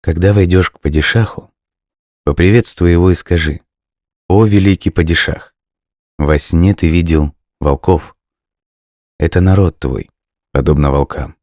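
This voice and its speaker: A wise old-tale serpent, voiced by a man, offering measured, forgiving counsel. The voice is extremely deep and dry, in a storybook manner. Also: a little mellow, no echo. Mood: calm, advising. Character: wise, forgiving female talking snake of folklore.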